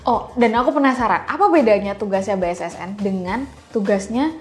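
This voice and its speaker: A woman's voice: medium pitch, brisk, faint music behind. A young woman hosting a casual, chatty interview, informal and dropping in English phrases. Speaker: podcast host, interviewer.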